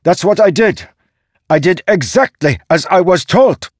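A man's voice: sits low, a little slow, breathy edge, no echo, clean studio sound. A man voicing an earnest young warrior son in a fantasy audiobook, intense and frustrated as he defends himself. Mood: angry, exasperated. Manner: intense, indignant when challenged.

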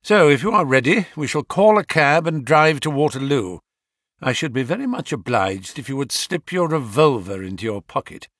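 A man voicing a cool, polite gentleman detective with an imperturbable, wry manner in an audiobook story. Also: medium pitch, no room sound, studio quality. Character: cool, bland, amused male gentleman detective.

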